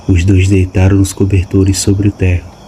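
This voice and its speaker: A man giving scripted audiobook narration in an even, measured way. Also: deep voice, a little hiss or hum.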